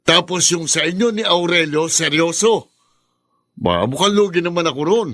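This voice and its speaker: Cocky, sulky highish-voiced lover. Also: male voice, animated, normal speed, a bit bright, dry recording, studio quality. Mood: jealous, aggrieved. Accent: Filipino. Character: cocky, teasing secret lover, jealous and sulky. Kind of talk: Filipino radio drama acting.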